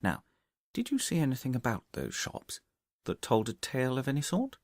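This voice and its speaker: A man voicing a confident, methodical male detective in an audiobook story, reasoning aloud and giving brisk orders. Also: medium pitch, wildly swooping pitch, no room sound, voice pushed up. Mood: calmly reasoning. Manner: reasoning aloud, deliberate, commanding. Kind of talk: audiobook character dialogue from a Victorian detective story.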